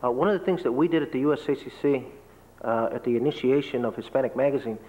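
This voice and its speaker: A man speaking as a panelist in a large lecture hall, explaining at length in a steady way and listing things. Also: mid-range voice, fast talker. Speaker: panelist, business association leader. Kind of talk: panel discussion answer. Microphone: table microphone. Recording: reverberant hall, dull lo-fi tape.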